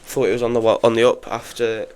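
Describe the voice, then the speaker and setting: A man's voice: medium pitch, fairly even intonation, fast talker, a little mellow, clear, resonant tone. A congested young man giving frank, chatty opinions in a casual solo football review, full of restarts. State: congested from illness. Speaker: football podcaster.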